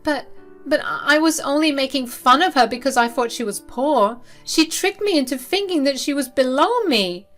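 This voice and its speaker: A woman's voice: high-pitched, lively intonation, normal speed, a bit bright, faint music behind, pitched above the performer's own voice. A woman voicing a spoiled middle-aged mother who whines and begs desperately. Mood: whining. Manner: whiny, desperate begging.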